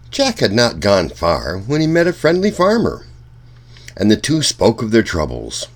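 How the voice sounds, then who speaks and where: A man narrates a children's storybook in a low voice with lively, swinging intonation. He reads slowly and expressively, as a storytime host speaking to young listeners. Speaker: storytime reader.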